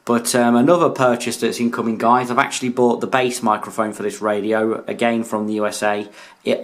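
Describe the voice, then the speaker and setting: A British man with a slightly low voice talks through a piece of hobby gear. His delivery is casual and halting, as in a homemade showcase video recorded indoors. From United Kingdom. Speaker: radio hobbyist presenting a video. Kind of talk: casual product showcase narration. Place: indoors in a room.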